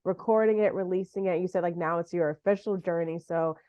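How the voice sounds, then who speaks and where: A woman's voice: on the low side, fairly even intonation, a little fast, dark tone. A woman hosting a casual music interview, speaking in an encouraging, affirming way. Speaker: interviewer, host. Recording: dull, lo-fi sound.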